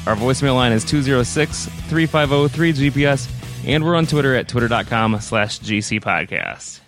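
A man's voice: mid-range voice, expressive, moderate pace, faint music behind. A man hosting a podcast in a casual, friendly way, with easy filler words and restarts. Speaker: podcast host.

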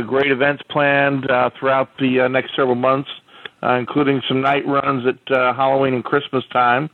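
A man co-hosting a podcast by phone, easygoing and pausing often with "uh". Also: medium pitch, no echo, phone-quality sound. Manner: easygoing, many "uh" pauses. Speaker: podcast co-host. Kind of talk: casual podcast chat.

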